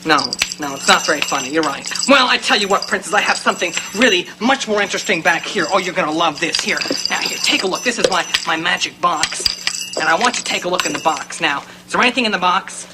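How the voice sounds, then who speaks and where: A woman's voice: lowish voice, lively intonation, fast, natural voice. A woman voicing an eager, bumbling magician puppet with showy, repetitive patter for a children's show. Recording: loud clattering background noise.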